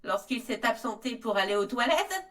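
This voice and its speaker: An earnest young former servant played by a woman testifies formally and deliberately. Her high voice sits a little away from the mic. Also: very animated, fairly bright tone. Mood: grave, recounting. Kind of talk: scripted testimony in mock interview. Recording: voice distant from microphone.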